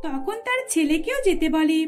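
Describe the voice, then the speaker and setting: A woman's voice: very high-pitched, lively intonation, normal speed, soft background music. A woman narrating a scripted cartoon story as a storyteller.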